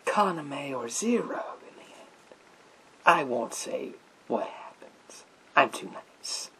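A man playing a sinister psychic mind-reading soldier in a gas mask, in a theatrical, drawn-out, whispered ASMR roleplay. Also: high-pitched, gravelly, a bit bright, husky, pitched above the performer's own voice, heavily acted, rough recording. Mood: probing, theatrical. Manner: theatrical, slow, drawn-out, repetitive phrases.

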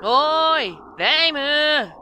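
A woman's voice: high voice, lively intonation, slow, sharp tone, slight background noise, full character voice. A woman playing a careless, tomboyish young witch in an anime-style fan voice drama, talking in a casual boyish way. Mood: breezy. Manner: casual, boyish rough speech.

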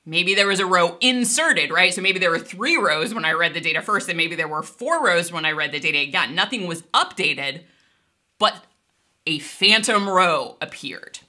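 A middle-aged woman giving a conversational technical lecture as an online instructor, explaining in an animated way. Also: lowish voice, normal speed. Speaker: instructor presenting an online database course.

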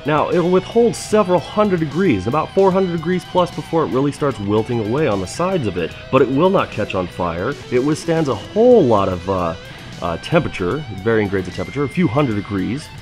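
An upbeat man in his thirties talks through a hands-on demo in a metal shop, a steady, mid-pitched voice with plenty of easygoing "uh"s. Faint guitar plays softly underneath. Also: fairly quick. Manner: upbeat and chatty, with filler "uh"s. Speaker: fabrication show host. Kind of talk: casual how-to demonstration. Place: metal fabrication shop, indoors.

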